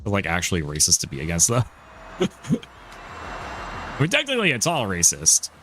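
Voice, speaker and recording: Brief: low-voiced male streamer, lively swings, rambling gameplay chatter, music underneath. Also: normal speed. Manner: rambling, stumbles over words, laughs often. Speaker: live streamer. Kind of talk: casual gameplay commentary.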